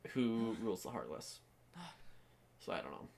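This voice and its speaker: A man's voice: lowish voice, big pitch swings, normal speed, gravelly, crowd noise. A man co-hosting a gaming show, making casual, playful banter and short agreeing replies. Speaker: co-host of a let's-play show. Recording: game music behind the voice. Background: video game music.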